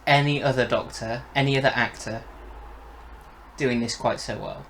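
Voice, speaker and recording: A man's voice: medium pitch, moderate pace, creaky, a bit bright, slight background noise, poor audio. A man co-hosting a casual, enthusiastic review conversation. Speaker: podcast co-host reviewing audio dramas.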